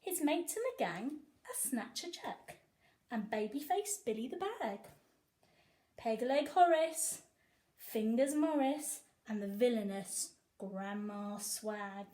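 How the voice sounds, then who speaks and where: A woman teacher reading a picture book aloud to young children, with animated, sing-song emphasis. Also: fairly high pitch, takes it slowly. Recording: echoey room.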